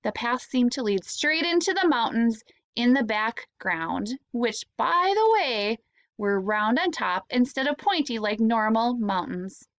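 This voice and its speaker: Teacher lady reading a kids book out loud, high voice, really close dry sound, leans on key words. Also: sing-song, a little slow, no echo. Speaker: elementary school teacher reading aloud. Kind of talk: expressive children's storybook read-aloud.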